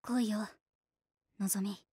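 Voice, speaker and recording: A woman's voice: mid-range voice, fairly even intonation, unhurried, dark tone, dry recording, clean studio sound. A blunt, tomboyish anime band girl. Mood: cool, determined. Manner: rough, clipped, boyish speech. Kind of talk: Japanese anime voice acting.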